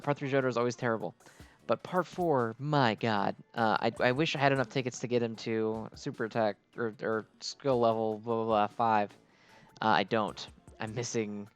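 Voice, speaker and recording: Male gaming host, mid-pitched, loose and rambling casual commentary, music playing behind. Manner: rambling, easily distracted delivery. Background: game music.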